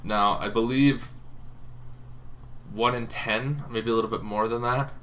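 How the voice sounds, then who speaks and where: A man's voice: mid-range voice, steady tone, unhurried, a bit bright, a little hiss or hum. A young man casually explaining tabletop game monsters, rambling with frequent ums and restarts. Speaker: tabletop game video host.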